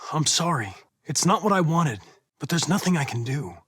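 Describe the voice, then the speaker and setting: A man's voice: on the high side, very animated, normal speed, a bit bright, breathy edge, no echo, clean studio sound, exaggerated voice. A man playing a polite, determined young android police investigator in a video game, with formal, precise, controlled diction. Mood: regretful.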